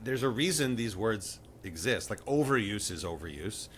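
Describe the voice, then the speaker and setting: A man's voice: lowish voice, lively intonation, normal speed, a bit bright, a little hiss or hum. A middle-aged man comedian on a casual comedic panel, talking in a rambling, hesitant way with dry wit.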